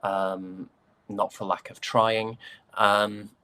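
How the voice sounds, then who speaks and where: A man's voice: on the low side, little pitch movement, extremely slow, pushed and bright. A man giving casual interview answers as a guest, thoughtful and hesitant. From United Kingdom.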